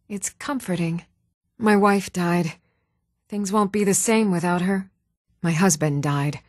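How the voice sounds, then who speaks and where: An actress playing an earnest, even-tempered townswoman in a scripted fantasy video game. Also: fairly low pitch, dry recording, clean studio sound.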